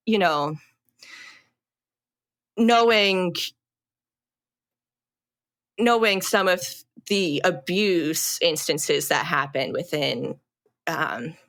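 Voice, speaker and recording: A young adult woman, a podcast guest, telling her own story in a halting, reflective way with many fillers and restarts. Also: deep voice, fairly bright tone. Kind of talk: personal storytelling in a podcast interview.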